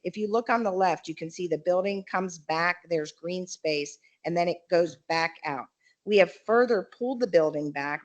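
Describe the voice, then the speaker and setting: Woman presenting a building plan at a county meeting, lowish voice, steady and explaining, lots of uhs. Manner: measured, explanatory, with frequent fillers. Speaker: developer representative presenting a project. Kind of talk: formal presentation at a public meeting.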